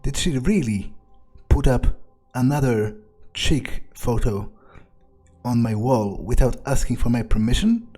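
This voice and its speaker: A man voicing an arrogant, scheming male vampire in video game roleplay, haughty and deliberate as he thinks aloud. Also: medium pitch, expressive, dark tone. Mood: irritated, smug.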